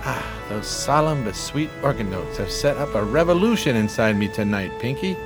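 A man's voice: medium pitch, expressive, moderate pace, natural voice. An actor playing a grandiose, dreamy homeless man with florid, theatrical speech in a radio play. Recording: music playing behind the voice.

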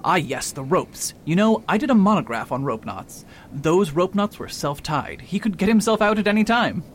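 A man's voice: animated, moderate pace. A man playing a pompous, overconfident learned doctor in a radio mystery, incredulous and easily baffled. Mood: smug. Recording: faint rumbling background noise.

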